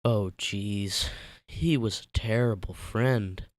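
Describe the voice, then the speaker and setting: A man's voice: fairly low pitch, slow pace, dry recording. A man narrating fan fiction as a dramatic scripted reading.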